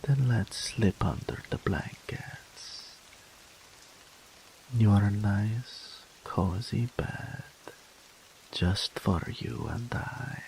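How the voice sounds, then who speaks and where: A man voicing a doting, possessive male incubus demon in an ASMR roleplay audio, speaking softly and slowly in a whispery, pampering way. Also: lowish voice, vocal fry, a little hiss or hum, exaggerated voice, poor audio. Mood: doting, tender. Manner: soft, slow, whispery and pampering.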